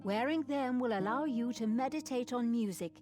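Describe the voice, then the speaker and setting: An actress playing a grave, dutiful abbess in a video game, speaking formally and earnestly. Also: female voice, fairly high pitch, normal speed, a little mellow, close to an everyday voice. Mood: sorrowful, resigned. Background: music.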